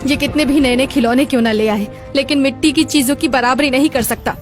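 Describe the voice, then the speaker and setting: A woman's voice: high-pitched, steady tone, brisk, lightly acted. A woman voicing a plucky, devoted potter's wife in a Hindi cartoon story, with theatrical emphasis. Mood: indignant. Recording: background music throughout.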